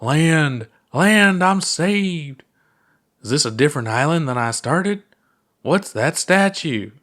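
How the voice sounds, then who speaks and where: A goofy, easygoing alien astronaut, acted out by a male reader telling tales in a storybook. The delivery is theatrical and playful, with words stretched out slowly. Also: a little high, animated, a bit bright, voice pushed up. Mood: mock panic.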